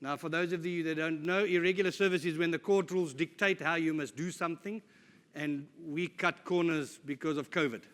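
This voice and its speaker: A middle-aged man talks to a conference audience in a casual, joking way, poking fun at himself. His voice is bright and forceful and sits a bit high. A long reverb from a large hall trails behind it. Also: fairly even intonation, a little fast. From South Africa. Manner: jokey, informal, with self-deprecating asides. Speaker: conference speaker. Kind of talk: conference presentation. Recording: live talk in a reverberant hall.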